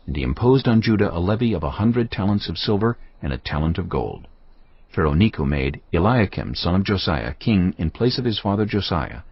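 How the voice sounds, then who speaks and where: A man reading scripture as a dramatised audio Bible narration, solemn and measured in his storytelling. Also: deep voice, breathy edge. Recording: slightly dull audio under background music. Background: background music.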